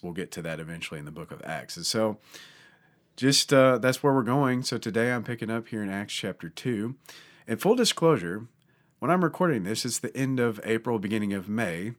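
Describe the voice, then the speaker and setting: A man with a scratchy voice from allergies, teaching a casual podcast Bible lesson with frequent restarts. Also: on the low side. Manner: conversational, with frequent restarts and fillers. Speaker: pastor and podcast host.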